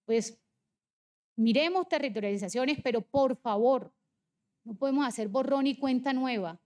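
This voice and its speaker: A woman's voice: sits high, a little mellow. A woman in her forties with a Barranquilla Caribbean Colombian accent, delivering an insistent, emphatic political debate speech as a legislator. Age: 40s.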